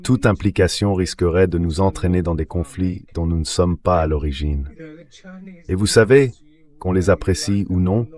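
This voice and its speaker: A man giving measured, analytical geopolitical commentary as an interview guest, read by a synthetic translation voice. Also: low voice, darkish tone.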